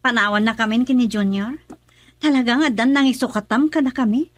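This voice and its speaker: A woman's voice: fairly high pitch, fairly quick, no room sound, lightly acted. An actress playing a young Ilocano wife in a radio drama, teasing and nagging her husband.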